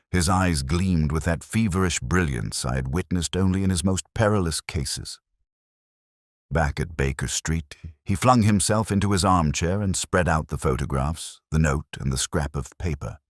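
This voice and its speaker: Really deep guy narrating an old detective story, formal n measured, lively pitch, dry recording. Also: no echo. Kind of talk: scripted audiobook narration of a classic detective story.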